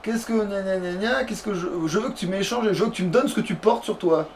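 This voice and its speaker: A man's voice: high-pitched, quick pace, slight background noise. A man chatting casually as a let's player, in a crude, slangy, grumbling way. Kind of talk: casual gaming chat.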